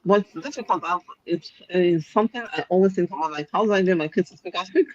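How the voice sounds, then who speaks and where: A woman's voice: fairly low pitch, quick pace, no room sound, highs cut off. A Nigerian woman chatting casually about raising children, laughing often as she reflects. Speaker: parent in a discussion. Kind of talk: casual chat.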